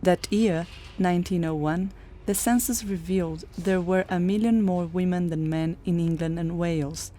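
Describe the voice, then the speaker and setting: A woman reads scripted documentary narration at an even, measured pace. Her voice is low and mellow. She keeps a steady reading tone. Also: slight background noise.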